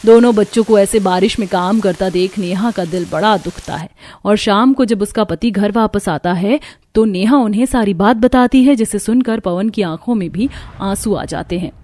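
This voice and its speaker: A woman's voice: medium pitch, normal speed, few pauses, dark tone. A woman reading scripted narration for a cartoon story.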